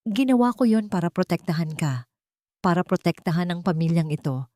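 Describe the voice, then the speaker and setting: Woman acting cold, proud, low-voiced older matriarch. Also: a little fast, no echo, studio quality, close to an everyday voice. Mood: cold, justifying. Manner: calm, hard, emotionless.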